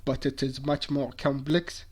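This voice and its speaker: A man's voice: medium pitch, little pitch movement, a little slow, slight background noise. A man giving a scripted technical presentation as a student.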